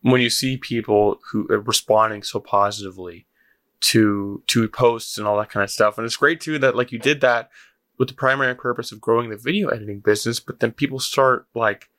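A man's voice: mid-range voice, brisk, a bit bright. A man hosting a casual podcast interview, encouraging and affirming his guest. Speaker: podcast host.

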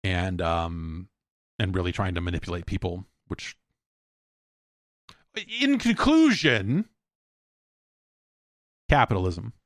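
A man's voice: low voice, very animated, slow pace, pauses often, dry recording, studio quality. A man hosting a solo podcast, talking in a rambling, informal, wry way, moving between casual commentary and ad reads. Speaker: podcast host. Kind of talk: casual solo podcast talk and host-read ad.

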